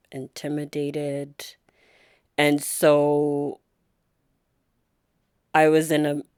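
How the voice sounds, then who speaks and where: Low, slow-speaking woman guest. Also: no echo, studio quality. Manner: soft-spoken, thoughtful, with frequent pauses and fillers. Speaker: guest, nurse. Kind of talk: reflective interview conversation.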